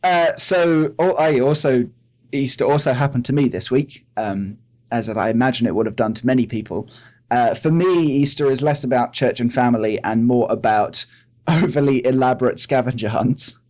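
A man with a mid-pitched voice banters as co-host of a casual podcast. His delivery is dry and playful, with mock-grandiose turns of phrase. The audio is slightly dull and lo-fi. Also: moderate pace, darkish tone.

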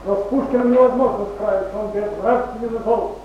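A man's voice: high voice, fairly even intonation, brisk, natural voice. An actor playing a fawning, indignant male servant of the state, in theatrical stage style. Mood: servile, exasperated. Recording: muffled, noisy, echoey stage tape.

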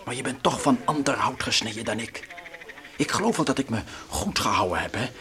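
A man acting as an uneasy adventurer in a radio play, with a sharp, forward voice. He is self-deprecating and mocking, grumbling in everyday words and covering his nerves with dry jokes. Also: medium pitch, animated, brisk, close to an everyday voice. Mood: confessing, uneasy. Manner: wry, colloquial, grumbling jokes.

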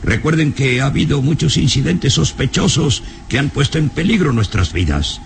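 An actor playing an anxious, bossy elderly professor in a radio drama, with nervous hesitations and stern warnings. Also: male voice, mid-range voice, lively intonation, normal speed, gravelly, background noise. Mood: fearful, suspicious. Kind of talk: radionovela acting.

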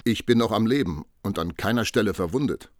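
A man's voice: lowish voice, lively intonation, fairly quick, over loud music, natural voice. A man playing a proud, battle-hungry medieval warrior in a radio drama, boastful and declamatory. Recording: faint noise behind the voice.

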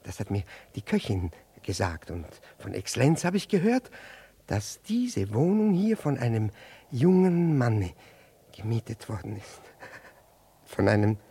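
Jealous middle-aged husband in vintage radio drama: husky, flustered, stammering, with big swings in pitch. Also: male voice, big pitch swings, unhurried, mellow, exaggerated voice. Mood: agitated, confiding. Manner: stammering, repeating and correcting himself. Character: flustered jealous husband, pretending it concerns his friend. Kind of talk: 1960s German radio play acting.

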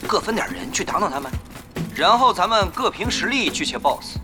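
Rival guild boss: sly, needling, highly animated intonation, music in the background. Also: male voice, fairly quick. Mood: crafty. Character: sly, haggling male rival guild leader. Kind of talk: audio drama acting. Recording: music under the dialogue.

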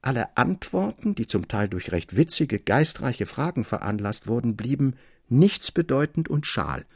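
A man reading literary prose as a measured, articulate and expressive storyteller. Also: mid-range voice, gravelly, slightly rough, no echo, clean studio sound. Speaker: audiobook narrator. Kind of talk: literary scripted narration. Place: dry recording studio.

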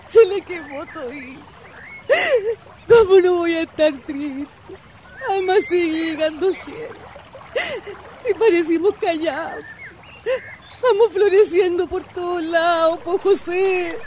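A distraught Chilean shantytown wife, played by an actress in a radio drama. Her voice is very high and slow, with colloquial, plaintive phrasing. Faint noise sits behind her. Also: warm tone. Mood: tearful, grieving. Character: distraught, compassionate working-class shantytown wife and mother.

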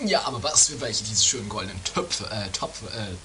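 An actor playing a self-important, grumbling breadwinner with halting, hammy delivery in an amateur comedy radio play. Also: mid-range voice, lively intonation, sharp tone, a little hiss or hum.

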